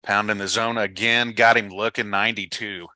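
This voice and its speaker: Bright, lower-pitched man calling baseball. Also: little pitch movement, moderate pace, dry recording. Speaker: baseball play-by-play announcer. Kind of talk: live sports commentary.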